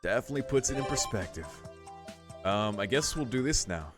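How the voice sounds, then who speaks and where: A man's voice: fairly low pitch, animated, fairly quick, over music. A man streaming a visual novel, reading the story text aloud and adding casual, musing commentary with some swearing.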